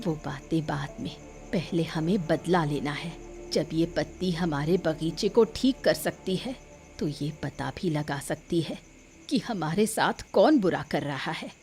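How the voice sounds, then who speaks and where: A woman voicing a determined old village mother in an animated moral story, firm and commanding. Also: low voice, measured pace, faint music behind. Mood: resolute.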